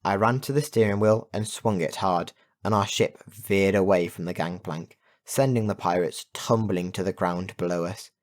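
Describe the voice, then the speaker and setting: Male narrator, low register, expressive scripted storytelling for a children's audiobook. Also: normal speed. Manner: expressive storytelling with put-on character voices.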